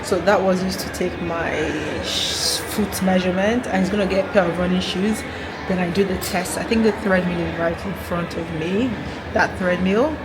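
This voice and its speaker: A woman's voice: fairly low pitch, fairly even intonation, normal speed. A woman from Nigeria chatting casually to her vlog viewers in an exclamatory, conversational way. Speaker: vlogger. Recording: clear ambient and vehicle noise.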